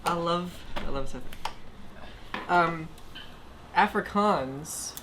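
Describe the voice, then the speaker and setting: A woman with a low voice chats casually as co-host of a livestream. She is a native Plautdietsch Low German speaker, and there is clear noise behind her. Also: slow pace. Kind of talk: casual chat. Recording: noticeable background noise.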